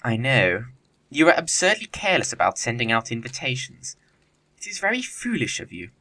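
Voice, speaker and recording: Man acting witty upper-class young bachelor, arch, teasing, bright voice, very animated intonation, period audio comedy. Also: mid-range voice, moderate pace. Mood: mock indignant. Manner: arch, theatrical, declamatory.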